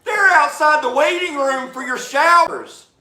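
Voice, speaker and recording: Man, heated casual debate, mocking, loud, high-pitched, roomy echo. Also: a little slow.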